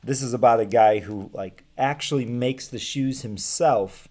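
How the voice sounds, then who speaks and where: An American man in his thirties teaching casually to camera, explaining things in plain, simple words with pauses. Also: mid-range voice, warm tone. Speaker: teacher reading aloud to students. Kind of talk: casual read-aloud lesson to camera. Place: small room at home with bookshelves. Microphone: webcam or laptop microphone at desk distance.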